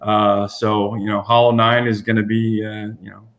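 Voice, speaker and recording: A man speaking as a podcast guest, chatting informally and a little haltingly about his own work. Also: fairly low pitch, level tone, fairly bright tone.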